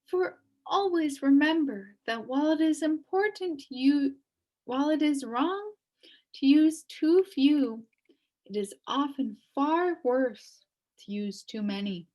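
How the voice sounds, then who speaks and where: A woman's voice: high-pitched, animated, slow, warm tone, rough recording. A woman voicing a sad, wistful elderly woman recounting her downfall in a storybook. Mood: sad, resigned. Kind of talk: storybook character reading.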